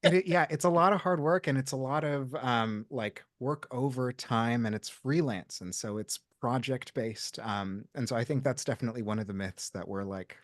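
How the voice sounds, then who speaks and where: A man from Brazil, an audiobook narrator, talking in a lively, self-mocking way on a panel. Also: medium pitch, normal speed, few pauses, no room sound, studio quality. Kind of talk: panel chat.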